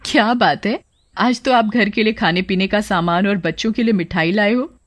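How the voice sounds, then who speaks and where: A woman's voice: medium pitch, normal speed, no echo, close to an everyday voice. A woman voicing a tailor's kind, grateful wife in a cartoon story, with an earnest manner. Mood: delighted. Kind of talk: Hindi cartoon story dialogue.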